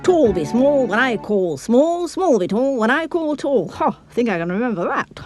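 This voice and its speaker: A woman's voice: fairly high pitch, big pitch swings, moderate pace, music in the background. A woman voicing an eager, bumbling little cartoon busybody girl, fussy and politely old-fashioned. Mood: excited. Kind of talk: fan-dubbed children's cartoon acting.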